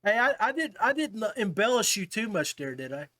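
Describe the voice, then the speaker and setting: A man's voice: high-pitched, big pitch swings, brisk, bright, forceful tone. A man hosting a casual fishing podcast, talking to guests in a chummy way, like old buddies. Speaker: podcast host.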